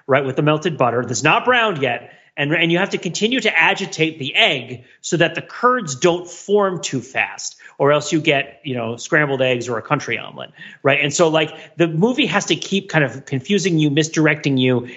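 A man's voice: fairly high pitch, sharp tone, highs cut off. A man co-hosting a podcast, riffing in rambling, comedic asides about film in a casual chat. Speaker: podcast co-host.

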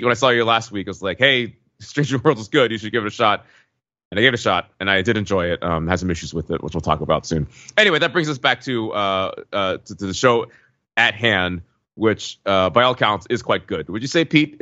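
A man's voice: medium pitch, pushed and bright, no room sound, lo-fi sound. A man co-hosting a podcast, talking casually about pop culture in a wry, conversational way. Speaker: podcast co-host.

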